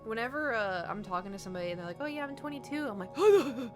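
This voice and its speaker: A woman's voice: a little high, animated, quick pace. A woman from the United States, a gaming streamer rambling in casual chat and answering her chat. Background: background music.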